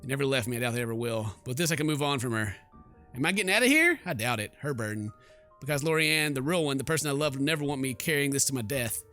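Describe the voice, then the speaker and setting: Bright-voiced man reading a game aloud. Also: medium pitch, quick pace. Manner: theatrical reading broken by slangy asides. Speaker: let's play host. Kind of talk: reading game narration aloud with joking commentary. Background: game music.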